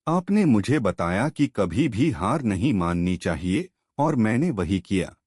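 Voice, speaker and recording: A man voicing a humble, grateful young daughter-in-law in a storybook tale, soft and respectful. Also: fairly low pitch, big pitch swings, unhurried, slightly rough, no room sound, clean studio sound, heavily acted.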